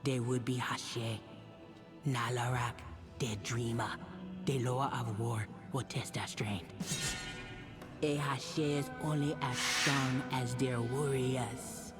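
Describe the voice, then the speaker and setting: An actor playing a solemn, mystical troll guide in a fantasy video game, with measured, formal delivery. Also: male voice, medium pitch, close to an everyday voice.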